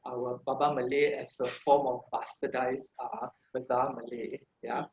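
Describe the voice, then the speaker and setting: A man's voice: mid-range voice, little pitch movement, unhurried, a little mellow, roomy sound, dull audio. A man with a Singaporean English accent giving an informal online lecture, chatty and full of "yeah" and "sort of, like" fillers. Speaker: guest speaker on a heritage language. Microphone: video call microphone.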